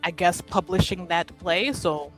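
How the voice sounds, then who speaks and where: A woman plays a candid, teasing lady in a fantasy tabletop game. The voice is bright and forceful, with halting phrasing. Faint music runs behind it. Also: on the low side, low-quality recording. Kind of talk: tabletop roleplay in character.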